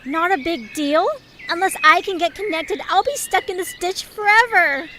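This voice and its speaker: A woman's voice: extremely high pitch, moderate pace, pushed and bright, slight background noise. A woman playing a bossy, self-assured young female leader in an audio drama, commanding and boastful. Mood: flustered.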